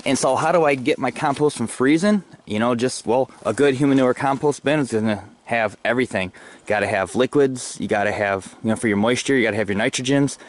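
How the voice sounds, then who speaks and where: An American man giving casual, halting commentary as he thinks aloud, recorded outdoors on a handheld camera. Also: mid-range voice. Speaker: gardening vlogger. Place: outdoors in a snowy fenced backyard. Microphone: handheld camera at arm's length. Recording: clear outdoor background noise.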